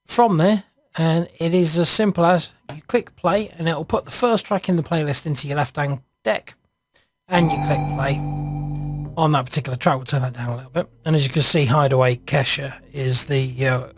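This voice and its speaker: A man narrating an informal screencast software walkthrough, hesitant and improvised as he thinks aloud. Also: fairly high pitch, breathy edge. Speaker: software reviewer.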